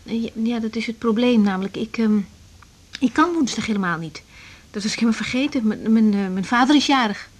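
Hesitant young woman doctor, higher voice. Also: expressive, brisk. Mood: evasive, nervous. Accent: Netherlands Dutch. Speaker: radio drama actor. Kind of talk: naturalistic 1980s Dutch radio drama acting.

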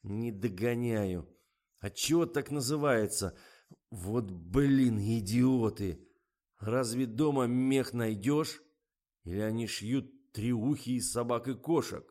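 A man voicing an excitable, hot-tempered advert director in an audiobook, barking orders in blunt slang. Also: medium pitch, takes it slowly, pitched above the performer's own voice. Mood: irritated.

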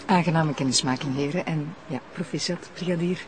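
An actress playing a self-assured, formal deputy prosecutor with a Flemish accent, speaking in clipped phrases in an audio drama. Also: female voice, sits low, a little fast, slight background noise, natural voice. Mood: cool, composed. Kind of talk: naturalistic audio drama acting.

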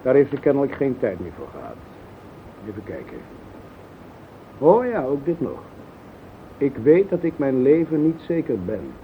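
An actor playing a courteous, probing police investigator, formal and sceptical, in a Dutch radio play. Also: male voice, huge pitch swings, moderate pace, long pauses. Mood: brisk, probing. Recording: phone-like old radio recording, faint rumble.

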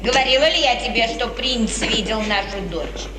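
A woman's voice: fairly high pitch, moderate pace, natural voice. An actress playing an anxious, pleading, protective middle-aged mother, expressively declaimed in 1950s Russian radio theatre. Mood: troubled. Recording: noisy, reverberant 1950s radio recording, distant.

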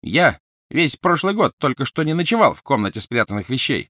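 A man's voice: mid-range voice, sing-song, fairly quick, sharp tone, no room sound, studio quality, pitched above the performer's own voice. A man voicing a brittle-voiced, tense teenage leader. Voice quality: cracking, brittle voice. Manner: tense, irritated, commanding. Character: proud, nervous teenage boy wizard leader. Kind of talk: audiobook character voice.

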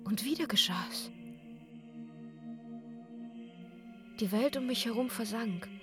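Actress as young opera singer, hushed n breathy, spellbound + scared, radio play narration, music underneath. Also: female voice, fairly high pitch, normal speed, close to an everyday voice. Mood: entranced. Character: spellbound, frightened young opera singer recounting her ordeal.

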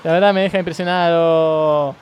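Man playing grumbling high-voiced Argentine ambulance driver. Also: steady tone, clear, resonant tone, natural voice. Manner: rambling, slangy, halting, repeats himself. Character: grumbling, weary, slacker blind male ambulance driver. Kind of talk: improvised comic radio sketch.

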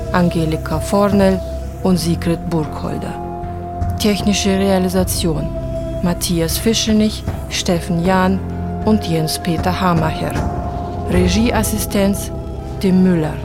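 Lady reading radio play credits, real slow, a bit low, loud music under her. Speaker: credits announcer. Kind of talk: scripted radio credits announcement.

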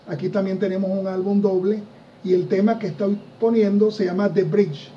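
Male music show co-host, high dark mellow voice, chatty with fillers, distant muffled mic. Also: a little hiss or hum. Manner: relaxed, enthusiastic about bands, many fillers. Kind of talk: casual radio-style music chat.

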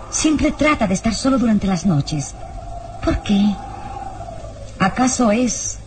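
A woman's voice: high-pitched, darkish tone. An actress playing a frightened, anxious young woman in a melodramatic old radio serial, hesitant and pleading. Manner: breathy gasps, hesitant, pleading. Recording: noisy old radio drama recording.

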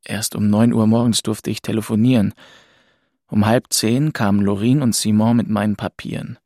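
A man's voice: on the low side, fairly even intonation, normal speed, a little mellow, no echo. An actor playing a weary, bitter young man who narrates his own story in an audio drama. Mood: subdued narration. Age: young adult.

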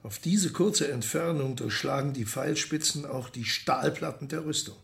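An actor playing a matter-of-fact arms expert, explaining precisely in a radio play. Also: male voice, medium pitch, moderate pace, creaky, natural voice. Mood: didactic.

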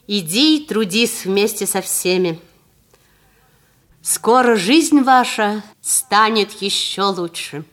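A woman voicing a kindly, mighty old man with a green beard in a fairy tale, speaking gently and reassuringly. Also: medium pitch, lively intonation, slow.

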